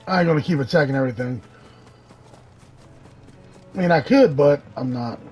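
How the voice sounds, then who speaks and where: A man's voice: fairly high pitch, normal speed, a little mellow, breathy edge, soft background music. A man doing casual live game commentary, talking to himself as he plays. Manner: talks to himself while playing. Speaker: game streamer.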